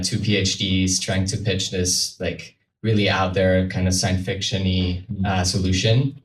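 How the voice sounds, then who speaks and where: A man from Switzerland speaking informally and somewhat hesitantly as a guest on a panel. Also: deep voice. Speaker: panel guest from a carbon removal company. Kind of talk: casual spoken panel talk. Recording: roomy, distant mic, noisy event space.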